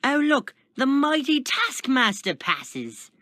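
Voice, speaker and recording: A man reading a story, voicing an arrogant, defensive imprisoned supervillain in a gravelly, strained, pompous voice. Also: very high voice, very animated, slow, dry recording, clean studio sound, voice pushed up, heavily acted. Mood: mocking. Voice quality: gravelly, strained fake-tough voice. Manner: pompous, shouting, emphatic.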